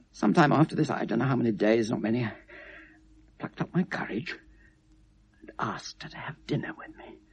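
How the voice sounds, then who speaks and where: An actor playing a middle-aged British shopkeeper, wry and anguished, recording a brooding confession in a radio drama. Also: male voice, on the high side, gravelly, darkish tone, husky, exaggerated voice. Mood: agitated, self-mocking. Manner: brooding, self-mocking, halting then bursting out. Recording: vintage 1970s radio recording.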